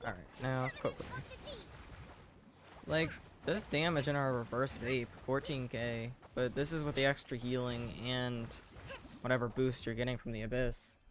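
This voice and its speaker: A man giving casual live video game commentary with a dry, deadpan, self-deprecating manner. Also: mid-range voice, moderate pace, a little hiss or hum.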